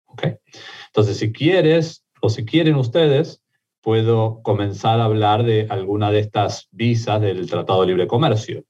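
Argentine man calmly explaining, low voice. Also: lo-fi sound. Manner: measured, methodical, frequent fillers and restarts. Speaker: immigration lawyer guest. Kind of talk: explanatory interview talk.

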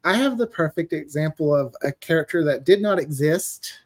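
A man's voice: fairly high pitch, lively intonation, moderate pace, breathy edge. A man chatting casually as a podcast guest and writer, telling stories and acting out quoted lines as he goes. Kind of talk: casual interview chat.

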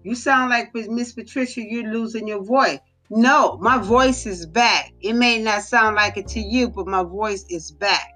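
Woman, podcast host, bright clear voice, earnest preachy delivery, faint guitar behind. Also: on the high side, a little slow, hardly any pauses. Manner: earnest, preachy, repeats phrases for emphasis. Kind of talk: faith podcast teaching.

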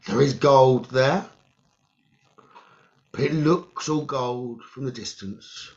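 Slow, creaky-voiced man guiding meditation. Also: mid-range voice, a little mellow. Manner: halting, short phrases with long pauses. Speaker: guided meditation leader, psychic medium. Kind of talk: slow guided meditation narration. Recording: dull, lo-fi sound.

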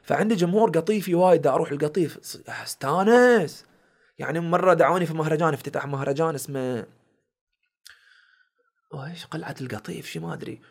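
A man's voice: a little high, fast talker, vocal fry. A young Bahraini man with a village Bahraini accent, telling stories in a casual podcast chat and acting out the people he quotes. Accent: Bahraini village dialect. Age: young adult. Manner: animated storyteller acting out quoted exchanges. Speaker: guest, content creator and comedian.

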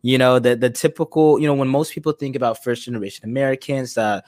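A man's voice: mid-range voice, fairly even intonation, fast, darkish tone, no echo, studio quality. A man hosting a casual podcast interview, asking questions in a rambling way full of fillers. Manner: rambling, many fillers like "you know". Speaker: podcast host.

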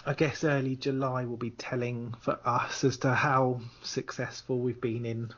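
A man's voice: medium pitch, level tone, a little slow, a bit bright, dull audio. A man chatting casually on a podcast, searching for his words as he goes. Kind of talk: casual chat.

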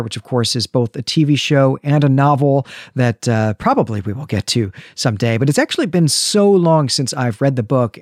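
A man talks as a podcast host in an enthusiastic, effusive way. His pitch sits in an ordinary middle range, and the sound is dry, with no room around it. The delivery is casual, like a review shared in conversation. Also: darkish tone, no echo.

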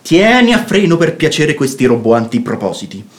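Radio drama, sensible counsellor figure, theatrical lecturing, highish voice, very animated intonation. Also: male voice, moderate pace. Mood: exasperated, lecturing. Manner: emphatic, lecturing. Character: sensible, reproving relative counselling moderation.

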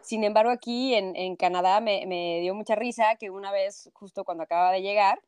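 A woman in her thirties from Mexico City, with a sing-song Mexico City accent, talking as a journalist guest in a lively, expressive way and often adding tag questions. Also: medium pitch, a little fast, clean tone, no room sound. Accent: Mexico City Spanish, sing-song. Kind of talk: interview.

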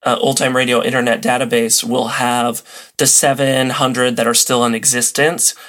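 A man with a mid-pitched voice talks as a guest on a podcast. He is friendly and easygoing, explaining things in a casual, conversational way like a keen hobbyist. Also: little pitch movement, normal speed, fairly bright tone. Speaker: podcast guest, old-time radio hobbyist. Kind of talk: casual conversational interview answers.

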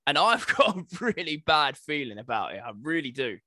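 A man's voice: a little high, sing-song, normal speed, sharp tone, slight background noise. A British man co-hosting a podcast, chatting casually about the news. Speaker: podcast co-host.

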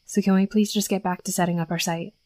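A woman's voice: medium pitch, steady tone, fast talker, mellow, dry recording, close to an everyday voice. A young woman playing a brash, sweary tsundere werewolf bully who scolds with grudging protectiveness, in a scripted audio roleplay. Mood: alarmed, scolding. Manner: scolding, sarcastic, sweary, exasperated. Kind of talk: scripted first-person roleplay audio acting.

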